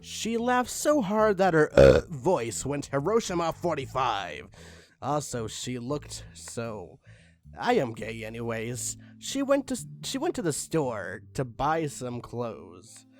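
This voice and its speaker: A man reading a badly written story aloud with mocking deadpan asides and spoken punctuation, over faint music. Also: mid-range voice, takes it slowly. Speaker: reader of online stories. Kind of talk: comedic dramatic reading with commentary.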